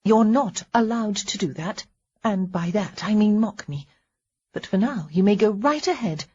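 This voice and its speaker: Woman doing a breathy, flustered young woman. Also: mid-range voice, lively intonation, measured pace, a little mellow, dull audio, pitched above the performer's own voice. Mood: nervous cheer. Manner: fussing, nervous chatter with a tremble. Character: tender, flustered young woman, anxious and hopeful. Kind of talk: audiobook character voice.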